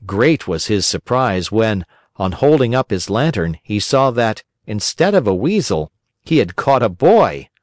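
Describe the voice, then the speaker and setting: A man's voice: fairly low pitch, big pitch swings, a little slow, dry recording, studio quality. A man narrating a children's story as a scripted audiobook.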